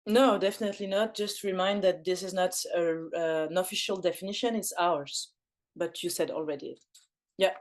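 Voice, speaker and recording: A woman leads an online forum over a video call. Her voice sits on the lower side, and she talks haltingly, full of ums and restarts. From Belgium. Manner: hesitant, many fillers and restarts. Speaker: facilitator.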